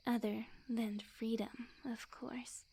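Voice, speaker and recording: A woman voicing a sweet, obsessively lovestruck, smug young witch in an intimate ASMR roleplay, speaking in a soft breathy near-whisper with quiet menace. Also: on the high side, little pitch movement, slow, exaggerated voice. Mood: sweet, possessive. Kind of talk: ASMR roleplay, intimate scripted monologue to the listener.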